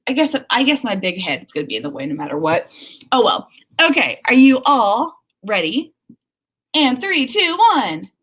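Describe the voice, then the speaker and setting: Female livestream host, casual and talkative, average pitch with lots of melodic movement, prone to exclamations and little acted-out asides. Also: big pitch swings, a little fast, fairly bright tone, breathy edge. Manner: chatty, exclamatory, acts out remarks. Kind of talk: casual livestream chat reading viewer comments.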